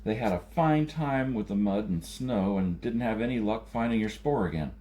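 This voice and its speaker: A man's voice: on the low side, animated, moderate pace, dark tone. A man narrating a science fiction novel as an audiobook reader.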